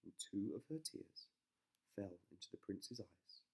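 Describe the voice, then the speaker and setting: A man's voice: on the low side, animated, a little slow, husky, low-quality recording. A man narrating a children's bedtime story as a dramatic storyteller.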